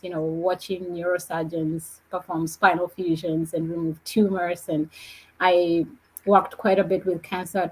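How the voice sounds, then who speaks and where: A woman speaks on a podcast as a guest, her voice slightly husky and pitched a little low. She tells her story in a measured, reflective way. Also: rough recording. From Kenya. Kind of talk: podcast conversation.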